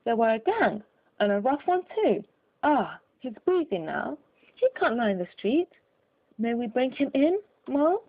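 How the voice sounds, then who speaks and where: Woman acting a phone-like, excited street bystander woman. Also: a little high, unhurried, exaggerated voice. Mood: urgent, concerned.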